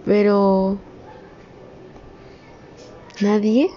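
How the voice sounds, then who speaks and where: A woman's voice: mid-range voice, steady tone, drawn-out words, warm tone, dull audio, a little hiss or hum. Earnest young woman venting. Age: young adult. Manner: earnest, rambling, rising to emphatic scolding. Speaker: communications student. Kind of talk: personal vlog monologue.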